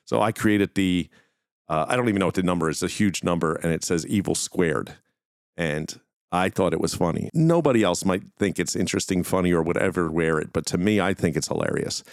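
A man's voice: sits low, a little fast, no room sound, clean studio sound. A man hosting a podcast, talking casually and informally to his listeners in a rambling, conversational way. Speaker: podcast host. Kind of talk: casual solo talk to listeners.

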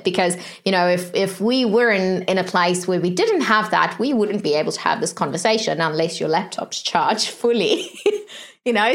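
A woman's voice: medium pitch, a bit bright. A woman from South Africa hosting an interview, chatting casually with lots of "like" and "you know.". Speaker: interviewer, host.

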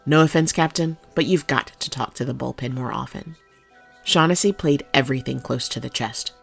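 A woman's voice: a bass voice, moderate pace, creaky, slightly rough, soft background music, heavily acted. An actress playing a loyal, candid policewoman who speaks deferentially but frankly to her captain in an audio drama. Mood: matter-of-fact, candid.

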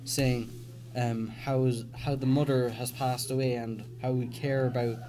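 A man's voice: mid-range voice, level tone, a little slow, mellow, poor audio. A young man hosting a casual podcast chat, rambling with many restarts and fillers. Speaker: podcast host. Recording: faint background noise.

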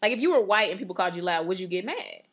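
A woman co-hosting a podcast in casual chat, loud and passionate, laughing often. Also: mid-range voice, expressive, rapid-fire. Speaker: podcast co-host.

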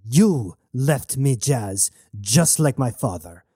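A man voicing a defiant, grieving young male pirate heir with halting, heated outbursts in an audiobook. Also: mid-range voice, animated, dark tone, breathy edge, dry recording, studio quality. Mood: angry, hurt.

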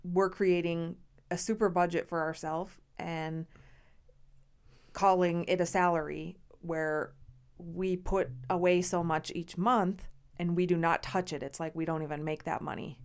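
A woman guesting on her husband's podcast, talking in a calm, matter-of-fact way. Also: fairly low pitch, measured pace, clear, resonant tone. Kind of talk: casual conversation with her husband.

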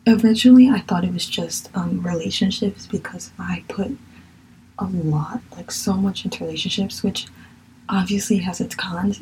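A woman's voice: lowish voice, vocal fry, mellow, slight background noise, low-quality recording. A young woman from Virginia with a Southern accent, chatting candidly as a podcast host, swearing casually and sometimes dropping to a whisper. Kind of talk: casual spiritual chat.

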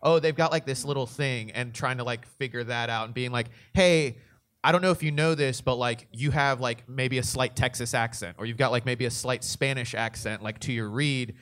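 A man's voice: medium pitch, fairly even intonation, fairly quick, hardly any pauses, fairly bright tone. Man casually thinking aloud on a panel. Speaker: voice actor and director on a panel. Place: live convention panel.

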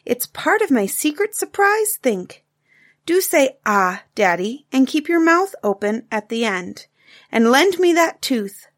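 Woman putting on a high, chattering cave girl, eager and childlike, storybook voice, very lively lilt. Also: a little slow, heavily acted. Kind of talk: storybook reading.

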